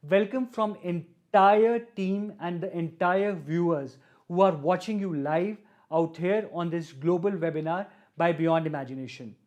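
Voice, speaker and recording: A man's voice: fairly high pitch, darkish tone. Earnest male webinar host. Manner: earnest, repetitive, with frequent fillers. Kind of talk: formal webinar introduction.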